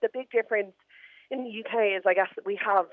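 A woman's voice: medium pitch, quick pace, no room sound. A woman giving a casual interview as a guest, talking informally with plenty of "like". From Ireland. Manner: informal, frequent "like". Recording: narrow phone-like remote line.

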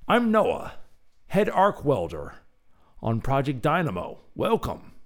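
A man's voice: mid-range voice, wildly swooping pitch, takes it slowly, voice pushed up. A man voicing a hearty, gangly English head welder with a put-on English accent. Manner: hearty, jovial.

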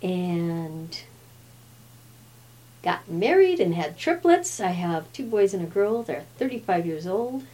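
A chatty woman in her fifties with a fairly low voice. She grew up in Brazil and speaks Portuguese as her first language, so she sounds like a relaxed guest at ease in a casual talk. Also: slow pace. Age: 50s. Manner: chatty, laughs often. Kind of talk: casual chat.

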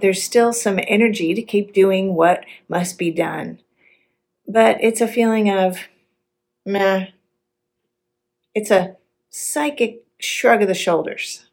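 A woman pastor giving a sermon in a reflective, measured public delivery. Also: medium pitch.

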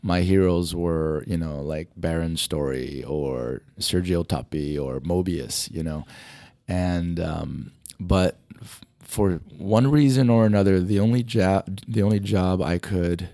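Low mellow man losing his voice. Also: measured pace, dry recording. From Hawaii. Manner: rambling, many fillers and restarts. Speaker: motion design educator. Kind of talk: conversational interview answers.

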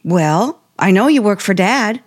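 An actress playing a timid, polite young aspiring writer, halting and nervous, in 1950s radio suspense style. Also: female voice, medium pitch, expressive. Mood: uneasy, thoughtful.

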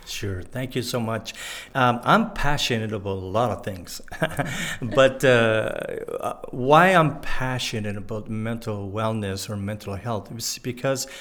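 Guest: middle-aged man, French Canadian-sounding accent, halting aphasic delivery, candid testimony with frequent pauses and fillers. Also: fairly low pitch, a bit bright. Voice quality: halting aphasic speech after a stroke. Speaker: guest, former pastor. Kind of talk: personal testimony in an interview.

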